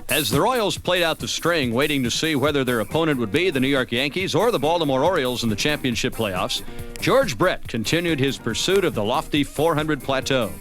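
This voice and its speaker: A man's voice: mid-range voice, expressive, fairly quick, fairly bright tone. An American man reading scripted narration for a baseball season documentary. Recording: music bed under narration. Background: background music.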